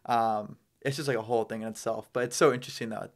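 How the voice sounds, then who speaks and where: A man's voice: on the low side, steady tone, fast talker. A man chatting casually as a podcast guest, explaining his business in loose, slangy, conversational phrases. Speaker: podcast guest, real estate business owner.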